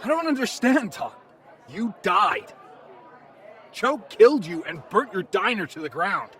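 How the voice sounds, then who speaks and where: An earnest synthezoid hero played by a man, a little high in pitch. He is polite and reasonable, with lively rises and falls, as in a fantasy audio drama. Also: unhurried, some chatter behind, full character voice. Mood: astonished.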